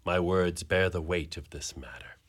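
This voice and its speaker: A man playing a brooding, jealous warrior husband in an amateur Shakespearean radio drama, with a slow, declamatory delivery. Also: low voice. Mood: brooding, ominous.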